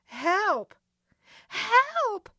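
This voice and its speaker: A woman's voice: high-pitched, very animated, moderate pace, fairly bright tone, dry recording, clean studio sound, pitched above the performer's own voice. A woman voicing a brave young girl detective who answers back coolly and defiantly. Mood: desperate. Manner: cool and defiant, firm.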